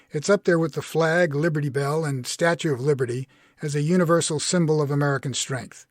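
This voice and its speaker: A man's voice: fairly high pitch, dry recording, studio quality. A man reading his own blog essay as scripted narration.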